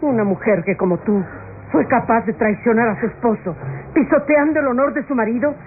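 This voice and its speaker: An actress playing a wealthy older aunt, wounded and unforgiving, in an emphatic, anguished radio soap style. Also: female voice, fairly high pitch, fairly quick, close to an everyday voice. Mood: stern condemnation. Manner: anguished, emphatic, melodramatic declamation. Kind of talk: classic Latin American radio soap acting. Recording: tinny, noisy old radio recording.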